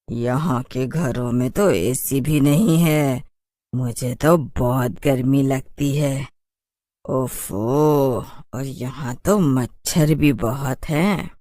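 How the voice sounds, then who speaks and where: A woman's voice: rumbling low, extremely slow, darkish tone, no echo, studio quality, exaggerated voice. A woman voicing a haughty, spoiled, taunting city witch in a cartoon horror story. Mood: complaining. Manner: taunting, dismissive. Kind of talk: animated horror story dialogue.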